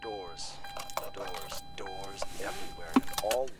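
A man giving a measured, storytelling reading of a classic novel. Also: on the low side, wildly swooping pitch, loud music behind the voice. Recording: loud background noise.

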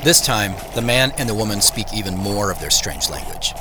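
An actor playing a troubled, polite businessman who narrates an audio drama in a measured, thoughtful way. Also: fairly low pitch, animated, background noise, close to an everyday voice. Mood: reflective, uneasy.

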